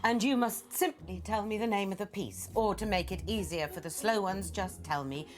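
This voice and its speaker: An actress playing a haughty middle-aged aunt in a film game, grand, precise and exasperated. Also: female voice, mid-range voice, moderate pace, slight background noise, close to an everyday voice. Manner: pompous, precise, theatrical.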